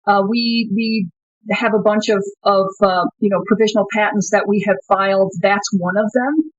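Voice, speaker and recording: A woman's voice: medium pitch, steady tone, moderate pace, no room sound. A woman guest on an interview show talks through her answers thoughtfully, with frequent fillers and restarts. Her audio is dry and a little dull, like a remote connection. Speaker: company co-founder, guest.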